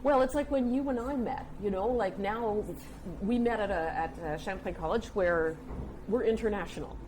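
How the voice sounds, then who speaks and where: An older woman, telling stories conversationally as an interview guest, with an animated manner. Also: medium pitch, moderate pace. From United States. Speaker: interview guest, communications specialist. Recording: clear background noise and room ambience.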